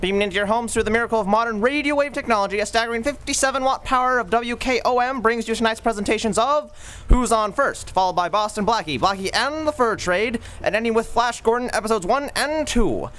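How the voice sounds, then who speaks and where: A man's voice: very high-pitched, quick pace, fairly bright tone. A man hosting a live old-time radio show on a museum stage, announcing in a formal, scripted style.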